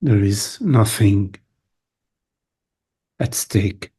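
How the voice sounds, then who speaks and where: A man guides a meditation in a low, very husky and breathy voice. His delivery is mellow and contemplative, broken into short phrases with long silences between them. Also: animated, extremely slow. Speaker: meditation teacher. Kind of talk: guided meditation, spoken softly to listeners.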